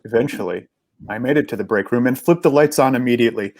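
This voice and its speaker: A man delivers a scripted ghost story with theatrical suspense. His voice sits at an ordinary male pitch, recorded very dry, with hardly any room around it. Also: quick pace, a little mellow, dry recording. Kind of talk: dramatic scripted narration.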